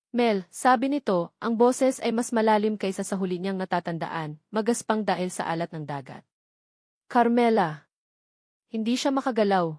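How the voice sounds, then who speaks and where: Filipina lady doing dramatic romance audiobook narration, mid pitch, really dry close sound. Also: a little mellow, no echo.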